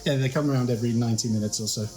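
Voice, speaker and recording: A man and native English speaker chatting casually, relaxed and self-deprecating, thinking aloud as he talks. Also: medium pitch, very fast, roomy sound, soft background music. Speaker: guest. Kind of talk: casual conversation.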